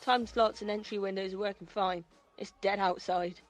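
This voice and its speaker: Streetwise club crew woman, played by an actress, British, medium pitch, blunt and reassuring, faint music behind. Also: fairly even intonation, moderate pace, lightly acted. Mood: reassuring, impatient. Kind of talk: audio drama acting.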